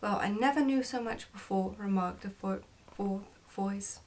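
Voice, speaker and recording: A woman reading a children's story aloud in ASMR style, soft-spoken and sometimes near a whisper. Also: mid-range voice, unhurried, far from the mic. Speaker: ASMR book reader.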